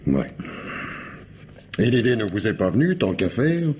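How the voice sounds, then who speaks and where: A shrewd detective with a deep voice, wry and pointed in a colloquial way. It is radio crime drama acting on an old recording with some background noise. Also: male voice, sing-song, a little slow, darkish tone. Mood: sardonic, probing. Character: shrewd, sardonic male police investigator.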